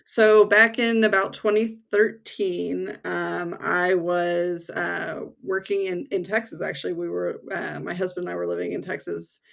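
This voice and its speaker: A woman from the United States in her thirties chats as a remote podcast guest. Her voice sits low with a gravelly fry. She talks in a relaxed way, with fillers and small laughs. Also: unhurried, few pauses. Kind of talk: casual conversation. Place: home in England.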